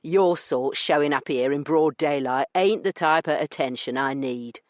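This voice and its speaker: A skinny, smirking male crook, voiced by a woman reading a story aloud. He drawls and answers with lazy insolence. His voice sits low and dry, with no room sound. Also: moderate pace, no room sound, natural voice. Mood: insolent. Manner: drawling, insolent, lower-class speech.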